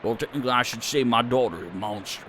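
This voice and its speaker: A man's voice: medium pitch, fast, fairly bright tone, slight background noise, pitched below the performer's own voice, natural voice. A man voicing an aging, homely male farmer who talks in a rambling country drawl. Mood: folksy.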